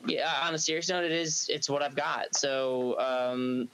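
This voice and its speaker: A man's voice: fairly even intonation, measured pace, a bit bright, poor audio. A man, a band singer on a podcast, chatting casually with lots of restarts and easy laughter. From New York. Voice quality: light, high singing voice described as feminine. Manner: rambling with many restarts, laughs easily.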